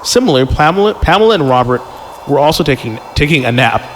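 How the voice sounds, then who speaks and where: A man's voice: fairly high pitch, expressive, fast, slight background noise. A man hosting a podcast, reading a story aloud casually, stumbling over the text and breaking off to joke and comment. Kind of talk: casual read-aloud with joking banter. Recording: long reverb, music underneath. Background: music.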